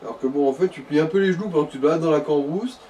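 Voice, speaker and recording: A man's voice: on the high side, rapid-fire, dark tone. A man sits well away from the mic. He chats casually over a video game, explaining things in loose slang as a co-commentator. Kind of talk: casual gaming chat. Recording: distant mic with background noise.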